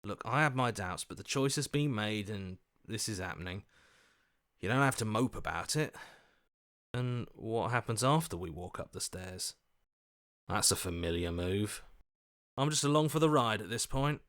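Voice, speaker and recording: A man's voice: fairly low pitch, lively intonation, moderate pace, fairly bright tone. An actor playing an earnest, doubtful everyman hero in a video game, questioning and hesitant as he reasons aloud. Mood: resigned, uncertain.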